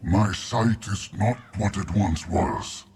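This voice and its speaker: An actor playing an ancient, muddled grandfather tree in a video game, solemn and halting, speaking like a cryptic prophet. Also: a little mellow, faint music behind. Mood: solemn, weary. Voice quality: deep, creaky. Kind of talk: video game character acting.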